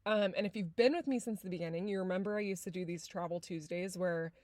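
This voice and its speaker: A woman's voice: fairly low pitch, quick pace, a little mellow, dry recording. A woman from San Diego hosting a podcast, talking casually with lots of fillers, a little nasal and congested. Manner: chatty, many fillers like "like". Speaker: podcast host. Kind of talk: casual podcast intro and chat.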